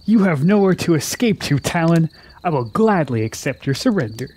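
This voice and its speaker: A man's voice: on the high side, sing-song, normal speed, a little mellow, husky. An actor playing an eager, boastful young man who longs to be a warrior, with formal phrasing, in a fantasy radio drama. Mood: playful, boastful. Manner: earnest, formal archaic phrasing.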